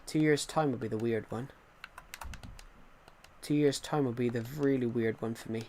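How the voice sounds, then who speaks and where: A young man in his twenties talks loosely while he plays, circling back over the same phrases and answering viewers as he goes. His voice sits at a middling pitch, picked up by a desk mic with a faint hiss of noise behind it. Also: steady tone, normal speed, a little mellow. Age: 20s. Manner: rambling, repeats himself, answers chat. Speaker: gaming streamer. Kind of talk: casual live-stream chat while playing.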